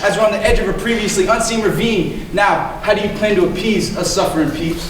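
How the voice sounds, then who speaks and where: A man's voice: sits high, fast talker, lightly acted. A young man playing a cynical, defiant teenage boy delivering spoken rap verse in a school play. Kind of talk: amateur stage acting, spoken rap verse. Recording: very echoey stage, distant mic, noisy.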